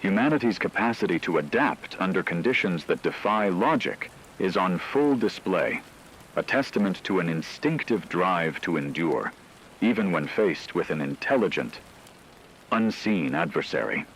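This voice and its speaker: A man playing a grave, steadfast emergency radio anchor in an apocalypse drama, speaking in a measured, formal way. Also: low voice, expressive, a bit bright, slight background noise, poor audio. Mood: grave, somber. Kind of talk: scripted dramatic broadcast narration.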